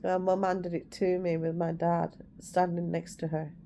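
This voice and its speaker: A woman's voice: on the low side, little pitch movement, normal speed, mellow, slight background noise. A woman in her forties with an English accent touched by Scouse, telling personal stories casually to her viewers and correcting herself as she goes. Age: 40s. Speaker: vlogger. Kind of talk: casual storytelling to the camera.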